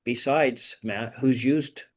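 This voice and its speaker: A man moderating an online group discussion as a coach, talking conversationally and often repeating words in a halting way. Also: mid-range voice. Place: online video call.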